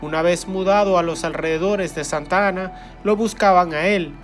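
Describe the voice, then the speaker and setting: High-voiced male documentary narrator. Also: moderate pace. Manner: even, informative reading. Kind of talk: scripted narration. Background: faint music.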